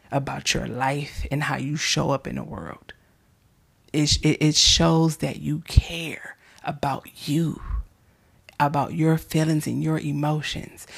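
A woman podcast host who is losing her voice, giving gentle faith-based encouragement in a hoarse, soft-spoken way that often drops into whispers. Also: deep voice. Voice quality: hoarse, gravelly.

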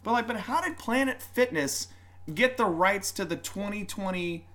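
A man co-hosts a casual podcast, rambling from one joke to the next. His pitch swings widely as he talks. He slips into quick put-on voices for bits. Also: expressive, a bit bright. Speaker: podcast co-host. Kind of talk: casual chat.

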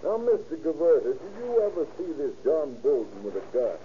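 An actor playing a formal courtroom lawyer, deliberate and probing, in a 1930s radio crime drama. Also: male voice, on the high side, very animated. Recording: muffled, noisy old radio recording.

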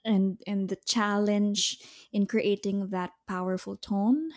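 A young woman, an opera soprano, talking casually, pausing often with fillers and restarts. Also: medium pitch, little pitch movement. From Philippines. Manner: hesitant, many fillers and restarts, occasional laughs. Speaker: opera singer, soprano guest. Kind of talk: casual interview chat.

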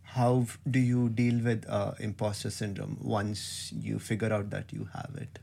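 A man hosting a casual interview, friendly and hesitant, often laughing softly as he asks his questions. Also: fairly low pitch, flat delivery, a little slow, vocal fry, a little hiss or hum.

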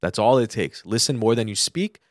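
A young American man with a fairly low voice talks quickly in casual podcast conversation. He gives advice emphatically, circling back to repeat his points. The sound is close and dry. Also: lively intonation, no room sound. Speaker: podcast co-host. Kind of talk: casual chat giving advice.

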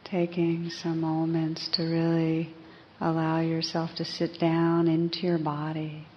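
A woman teaching a guided meditation in a gentle, unhurried way, pausing between phrases. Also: deep voice, completely flat delivery. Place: live evening group session. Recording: slightly muffled live recording, faint hiss.

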